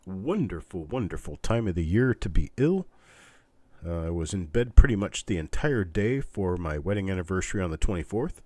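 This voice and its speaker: Deep, scratchy, congested male livestream host. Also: mellow, no room sound. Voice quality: congested, stuffed-up nose. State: recovering from illness, weakened voice. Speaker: host of a live online coin auction. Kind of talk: casual livestream chat with viewers.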